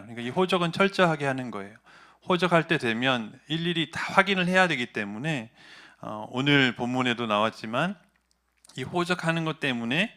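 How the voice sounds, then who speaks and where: Bright-voiced pastor preaching conversationally. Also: male voice, mid-range voice. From Gyeongsan, North Gyeongsang, South Korea. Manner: conversational, many fillers, asks the congregation questions. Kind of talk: sermon.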